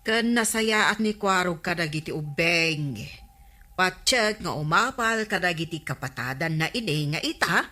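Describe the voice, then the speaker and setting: A woman's voice: on the low side, lively intonation, a little slow, bright, forceful tone. A woman playing a chatty, complaining villager who exclaims often in a comic Ilocano radio drama. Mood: gossipy.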